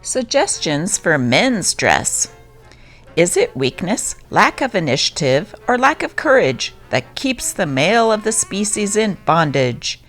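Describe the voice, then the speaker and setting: A woman's voice: mid-range voice, big pitch swings, measured pace, a bit bright, soft background music. A woman narrator giving a scripted reading of old newspaper stories.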